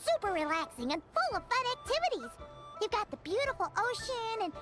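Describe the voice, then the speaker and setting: A tiny, excitable fairy companion from a video game. The squeaky, childlike voice sits extremely high and swoops freely. The talk is chatty and exclamatory, with loud music underneath. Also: female voice, fairly quick. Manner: bubbly, exclamatory, informal.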